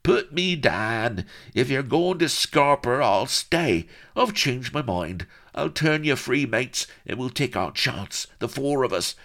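A tiny, furious dwarf pirate from a comic storybook. He yelps high and indignant, squirming with defiance and swinging wildly in pitch. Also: animated, slow, few pauses, a bit bright. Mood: stubborn, defiant. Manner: shrill indignant yelping. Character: small one-legged dwarf pirate, fierce, squirming, defiant.

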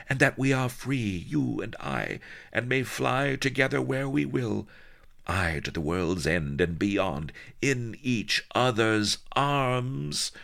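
Guy doing a husky vamp pirate lady, way higher voice, dramatic old-timey talk. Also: fairly bright tone, heavily acted. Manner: husky, theatrical, archaic speech. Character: sultry, scheming female pirate temptress.

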